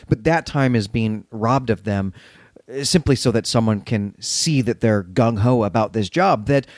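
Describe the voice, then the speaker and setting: A man's voice: mid-range voice, darkish tone, no echo, clean studio sound. A man co-hosting a podcast, recapping a story conversationally with wry, sardonic asides. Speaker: podcast co-host.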